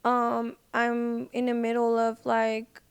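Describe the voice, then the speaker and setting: A woman with a smooth, fairly high voice talks casually as a podcast guest. Her pitch stays in a narrow, even band. She phrases things informally, leaning often on "like" and "you know". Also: slow pace. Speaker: podcast guest, singer and social media personality. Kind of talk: casual chat.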